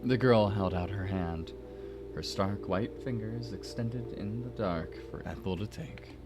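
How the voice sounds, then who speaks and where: A man with a very deep voice narrates a story aloud. He reads as the narrator and breaks off for playful asides. Music plays clearly underneath. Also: measured pace. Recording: music behind the voice.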